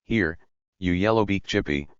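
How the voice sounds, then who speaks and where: A man's voice: deep voice, moderate pace, smooth, no room sound, muffled recording, lightly acted. A man voicing a cranky old male sparrow who scolds and stutters into chirps. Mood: scolding. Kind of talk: audiobook character voice.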